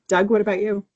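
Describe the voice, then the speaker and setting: A podcast host who moderates the interview, asking long, rambling questions and laughing often in a friendly way. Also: mid-range voice.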